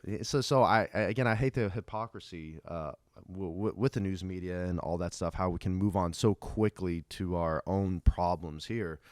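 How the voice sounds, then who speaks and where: A man's voice: deep voice, no echo, studio quality. A young man who grew up in Ukraine, co-hosting a podcast and explaining things in an informal, earnest way. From grew up in Ukraine, from an American missionary family. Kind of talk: casual, earnest explanatory talk.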